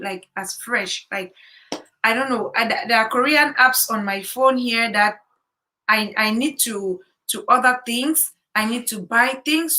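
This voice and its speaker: A woman's voice: fairly high pitch, pushed and bright, poor audio. A woman talking casually about life as a new mother, chatty with many fillers and repeated words. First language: English. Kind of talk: casual chat. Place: home in Korea.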